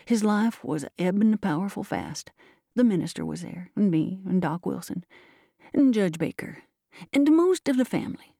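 A woman's voice: medium pitch, lively intonation, moderate pace, vocal fry, warm tone, no echo, studio quality. A woman voicing a folksy old-time rustic storyteller in country dialect, drawing out a yarn about the neighbors. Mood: solemn, mock-tragic.

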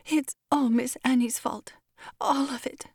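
A woman's voice: medium pitch, lively intonation, normal speed, vocal fry, dark tone, breathy edge, no room sound, clean studio sound. A woman voicing a melancholy, resentful young woman servant in a story, trembling and plaintive. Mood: tearful, resentful. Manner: whining, plaintive.

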